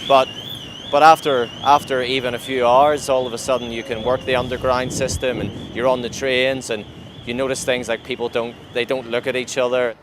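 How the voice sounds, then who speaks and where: A young man, giving a thoughtful, halting personal account in an interview, with frequent restarts. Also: mid-range voice, level tone. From Northern Ireland. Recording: clear outdoor traffic and water noise.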